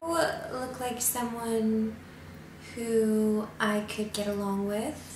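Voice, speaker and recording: A woman's voice: fairly high pitch, steady tone, slow, darkish tone, distant mic. Playful woman in an echoey room. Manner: playful, bubbly and bossy with her friend. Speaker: co-host. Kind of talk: casual chat. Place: bright living room, on a couch. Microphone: camera a few feet away. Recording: echoey room with audible hiss.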